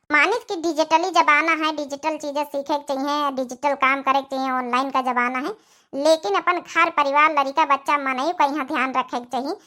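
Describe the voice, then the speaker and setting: Female channel host, high and bright, Awadhi accent, moralizing to viewers. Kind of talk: direct address to viewers, moralizing.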